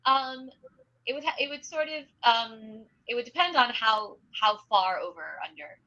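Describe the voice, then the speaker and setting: A woman's voice: fairly high pitch, lively intonation, normal speed, bright, forceful tone, highs cut off. A woman literary agent answering questions in a live online Q&A, chatty, with many ums and restarts. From grew up speaking Spanish and Norwegian at home.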